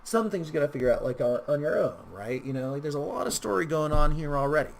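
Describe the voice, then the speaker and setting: A man hosting a casual software tutorial, talking in a rambling, informal way with wry asides. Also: fairly high pitch, big pitch swings, quick pace, gravelly, a little hiss or hum. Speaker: tutorial host.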